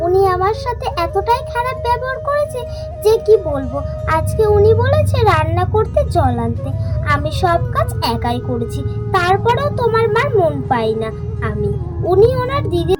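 A woman's voice: very high-pitched, very animated, normal speed. A woman voicing a scheming, spiteful young daughter-in-law in a cartoon folk tale. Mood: aggrieved, deceitful. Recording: music behind the voice.

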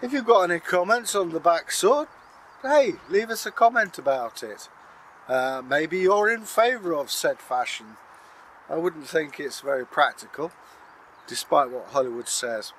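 An older man spins a folk tale in a chatty, dry manner. His voice sits a little high, picked up by a camera mic outdoors. Faint background hiss comes through. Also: lively intonation, measured pace, fairly bright tone, rough recording. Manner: chatty, dry, good-humoured. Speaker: channel host and storyteller. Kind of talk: casual storytelling and banter to camera. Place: outdoor garden by a pond. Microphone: camera mic at a few metres.

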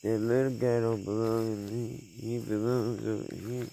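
A man's voice: on the low side, level tone, normal speed, creaky, mellow, slight background noise, rough recording. A man filming his backyard homestead at night, talking casually to his chickens in a laid-back, slangy way, with a handheld phone camera outdoors. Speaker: homesteader vlogger. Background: crickets and night insects.

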